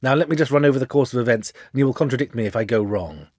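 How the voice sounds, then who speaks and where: A man voicing a shrewd, self-assured gentleman detective for a storybook, with a precise, theatrical, lecturing manner. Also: mid-range voice, very fast, no echo, studio quality, close to an everyday voice. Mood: scornful, explanatory.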